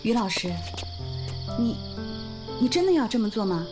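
A woman's voice: a little high, sing-song, moderate pace. An actress playing a caring, concerned young female village teacher in a radio drama. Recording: music behind the voice.

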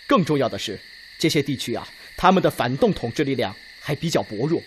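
An actor playing an insistent, methodical Red Army officer who argues point by point in a Chinese radio drama. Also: male voice, medium pitch, very animated, brisk, a little hiss or hum, close to an everyday voice. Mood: earnest. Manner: emphatic, point-by-point.

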